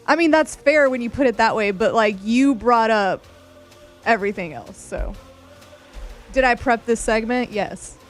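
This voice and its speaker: A woman's voice: fairly high pitch, unhurried, faint music behind. A woman from San Antonio, producer and co-host on a sports radio show, answering in dry, laid-back replies in a studio. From San Antonio, Texas. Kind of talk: casual studio banter. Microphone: studio microphone.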